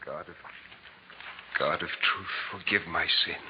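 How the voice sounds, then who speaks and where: Devout wise man of the East, low-toned, formal and grave; heavily noisy vintage radio. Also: unhurried. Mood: hushed prayer. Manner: solemn, formal archaic phrasing. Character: Artaban, devout, weary male magus pilgrim, humble and earnest. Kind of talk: 1940s radio drama acting. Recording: muffled, very noisy 1940s radio recording.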